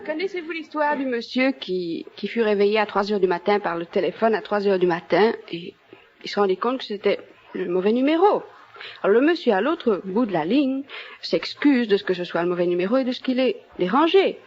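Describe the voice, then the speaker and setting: A woman's voice: medium pitch, lively intonation, fast. A young woman from Belgium, a native French speaker with a Belgian French accent, chatting as a radio quiz contestant. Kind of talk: casual interview chat. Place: radio studio. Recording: dull vintage radio recording.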